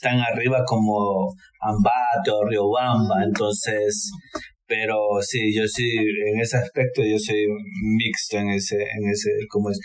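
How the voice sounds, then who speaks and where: A man's voice: lowish voice, little pitch movement, slow pace. A man from Ecuador, chatting casually on a podcast in a rambling way with many restarts. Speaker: podcast co-host. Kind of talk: casual chat.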